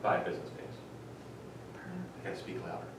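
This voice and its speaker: A man's voice: moderate pace, heavy reverb, distant mic, crowd noise. A man chairing a township board meeting, talking easily with frequent "um"s and chuckles, recorded on a tabletop recorder in a meeting room. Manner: easygoing, laughs often, hesitant fillers. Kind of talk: casual meeting discussion.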